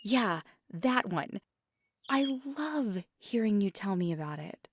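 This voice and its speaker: Slow-talking feisty girlfriend played by a woman, birdsong behind. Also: medium pitch, expressive. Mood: playful, animated. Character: feisty, affectionate young magical girlfriend. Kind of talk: ASMR girlfriend roleplay acting. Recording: clear background noise with birdsong. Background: birdsong and outdoor ambience.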